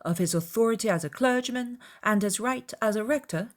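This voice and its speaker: A woman narrating a classic novel as an audiobook, in a measured, formal storytelling manner. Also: fairly high pitch. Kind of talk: dramatized literary narration.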